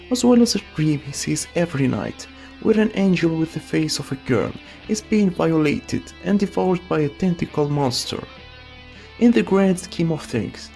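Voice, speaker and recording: Lively, gravelly voice-over narrator. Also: mid-range voice. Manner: opinionated, wry asides. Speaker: video essay narrator. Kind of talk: scripted voice-over commentary.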